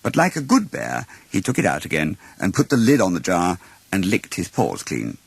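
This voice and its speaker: A man reading a children's storybook as narrator in an expressive storytelling style. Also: deep voice, moderate pace, vocal fry, slightly rough, dry recording. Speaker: storyteller, audiobook reader.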